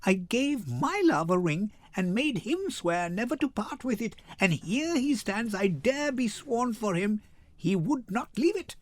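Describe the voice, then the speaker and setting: A man voicing a poised, witty noblewoman wife in measured, theatrical Shakespearean verse, teasing and quick to indignation. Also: high voice, sing-song. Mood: reproving.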